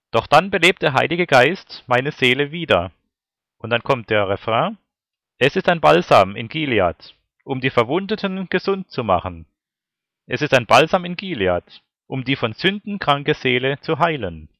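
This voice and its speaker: Prediger mit sehr lebhafter Sprachmelodie. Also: male voice, medium pitch, fairly bright tone, no room sound, clean studio sound. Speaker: preacher. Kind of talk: sermon with scripture and verse readings.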